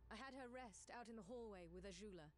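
A woman's voice: mid-range voice, fast talker, a little hiss or hum, natural voice. A woman voicing a composed, authoritative noblewoman in a fantasy video game, with a formal manner. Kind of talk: video game drama acting.

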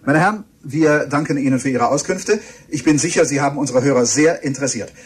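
An actor playing a courteous, inquisitive radio reporter interviewing on location in a German radio play. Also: male voice, a little high, a little fast, husky. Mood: courteous, curious.

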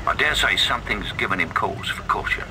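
A man playing an elderly loyal butler in a video game, formal, composed and quietly deferential. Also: lowish voice, expressive, a little fast, lightly acted. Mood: cautious, composed. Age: older. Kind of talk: video game cutscene acting. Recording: distant voice under loud noise.

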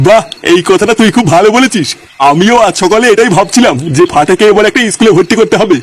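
A man voicing a kindly, excitable old grandfather in a comedy cartoon, mild and coaxing. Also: sits high, fast. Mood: coaxing, affectionate.

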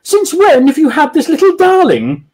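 Man doing posh snobbish woman, very high squawk, haughty, drawn-out vowels, storybook reading. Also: wildly swooping pitch, a little mellow, heavily acted. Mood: gushing.

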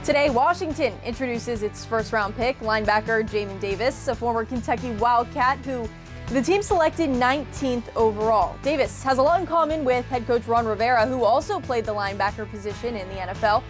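A woman's voice: a little high, a little fast, over music. A young woman anchoring a local TV sports show, reading scripted narration in a studio. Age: young adult.

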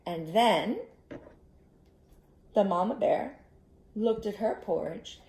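Slow-paced woman storyteller in an echoey room. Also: medium pitch. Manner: expressive, instructive, asks listeners to join in. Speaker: children's storytime teacher. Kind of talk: interactive storytelling to young children. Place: small living room, indoors. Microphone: camera a short distance away.

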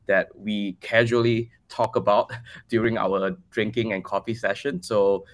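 A Malaysian-accented man in his forties talks as a guest on a video call. His voice is somewhat low, and he answers questions in a casual, conversational way. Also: little pitch movement, a little slow. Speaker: guest, crypto project team member. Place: bright room at home, video call.

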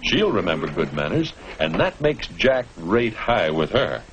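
Deep-voiced 1950s instructional film narrator, swooping intonation. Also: male voice, very animated, measured pace. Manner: instructive, rhetorical questions to the viewer. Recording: noisy old 1950s film soundtrack.

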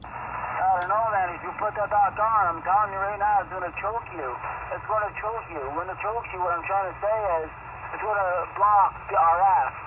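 Male ham operator, Canadian, chatty explainer, very high voice, muffled through a shortwave set. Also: fairly even intonation, moderate pace. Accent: Canadian English. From Ontario, Canada. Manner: explanatory, repeats himself, informal. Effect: heard over shortwave radio. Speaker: amateur radio operator and live streamer. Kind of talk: casual ham radio chat. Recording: muffled, very noisy shortwave radio reception. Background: loud radio static.

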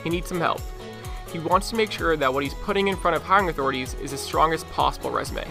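A man narrates a software demo. His voice is slightly high with noticeable creak, and music plays clearly behind him. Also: quick pace. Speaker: software product presenter.

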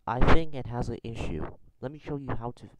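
A man hosting a casual software tutorial, thinking aloud in a halting, hesitant way. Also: medium pitch, crowd noise. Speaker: tutorial host. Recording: noisy room, keyboard and mouse clicks. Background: typing and mouse clicking.

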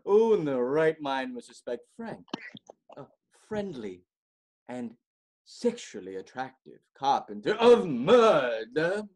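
A man performing a theatrical comedic monologue as a pompous, melodramatic amateur writer with a husky voice and dramatic pauses. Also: on the high side, exaggerated voice. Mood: dramatic, gleeful. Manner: smug, animated, chuckling, dramatic pauses.

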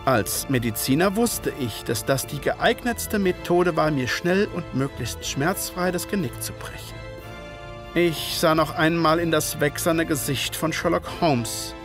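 An actor playing a gentleman physician and former soldier, narrating an audio drama in measured, literary fashion. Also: fairly high pitch, over music, lightly acted. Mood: grave, sombre.

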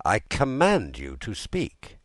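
An imperious storybook king, as a male narrator plays him, demands answers with emphatic indignation. His pitch leaps about freely, and the sound is close and dry. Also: wildly swooping pitch, slow pace, a bit bright, no room sound, full character voice. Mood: impatient, demanding. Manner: commanding, emphatic, exclamatory. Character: indignant, imperious French king, sarcastic and alarmed. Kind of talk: audiobook character dialogue.

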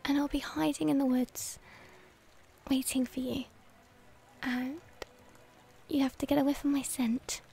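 A woman playing a shy, devoted young werewolf girlfriend in an intimate ASMR roleplay, speaking hesitantly and tenderly in a breathy voice that often drops toward a whisper. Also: high voice, little pitch movement, warm tone. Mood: soft, expectant. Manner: hesitant, tender, halting delivery.

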